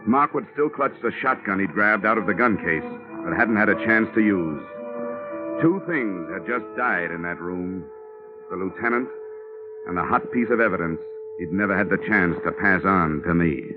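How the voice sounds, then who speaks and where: A wisecracking insurance investigator man in a 1950s radio drama. He narrates in a low, dry voice, and it comes through a tinny broadcast. Music sits under his lines. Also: expressive, normal speed. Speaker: narrator and lead.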